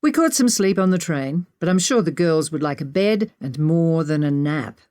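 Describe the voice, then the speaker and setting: A woman's voice: low voice, expressive, moderate pace, a little mellow. A woman voicing a confident, teasing male adventurer in a narrated story. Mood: businesslike.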